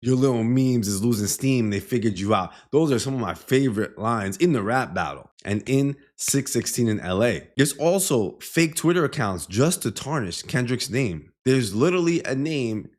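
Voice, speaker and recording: A man's voice: mid-range voice, lively intonation, normal speed, few pauses. A man giving explainer commentary in an emphatic, opinionated way, often quoting and paraphrasing lines as he goes. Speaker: music commentator and host. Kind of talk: explainer commentary breaking down rap lyrics.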